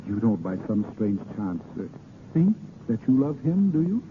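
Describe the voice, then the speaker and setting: An actor playing an ardent, gallant vagabond poet posing as a lord, with theatrical, hesitant pauses, in a 1930s radio drama. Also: male voice, medium pitch, sing-song, noisy background, natural voice. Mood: wry, probing. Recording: muffled 1930s radio broadcast recording.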